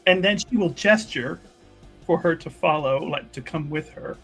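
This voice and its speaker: A man improvising third-person narration as a tabletop roleplaying player, casual and halting, often correcting himself. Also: fairly high pitch, bright, forceful tone, slightly rough, soft background music.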